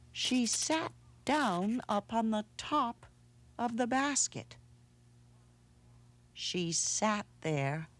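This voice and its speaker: A woman narrating a children's storybook aloud in a measured, dramatic way, with hushed pauses. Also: mid-range voice. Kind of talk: expressive storybook read-aloud.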